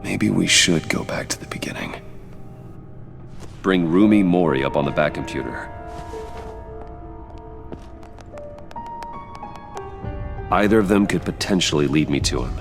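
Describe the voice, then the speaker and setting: Very deep-voiced grim vigilante. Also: male voice, animated, normal speed, creaky, music in the background. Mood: measured. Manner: terse, serious. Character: grim, determined wealthy male vigilante detective. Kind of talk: video game voice acting.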